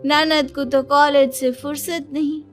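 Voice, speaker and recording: A woman acts out a young cartoon daughter-in-law who always feels hard done by. Her voice is very high and whiny, with a slow, dramatic, complaining delivery over music. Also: little pitch movement. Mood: whiny, aggrieved. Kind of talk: Hindi moral-story cartoon dialogue.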